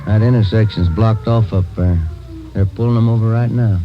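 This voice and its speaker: An American actor playing a plain-spoken, matter-of-fact police detective partner in a 1950s radio crime drama. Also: male voice, fairly low pitch, fairly even intonation, normal speed, over music, lightly acted. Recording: dull vintage radio recording, faint noise.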